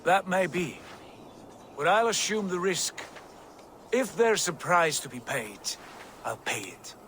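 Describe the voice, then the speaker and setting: A man's voice: high-pitched, lively intonation, slow pace, fairly bright tone, slight background noise, exaggerated voice. A man playing an earnest, steadfast Norse god in a dramatic video game, reasoning and conciliatory.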